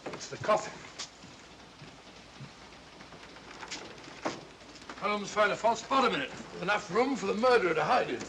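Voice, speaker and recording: An actor playing a fussy, bumbling older English gentleman sidekick, blustering and easily flustered, in a 1940s mystery film style. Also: high voice, lively intonation, fast, slight background noise. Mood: urgent.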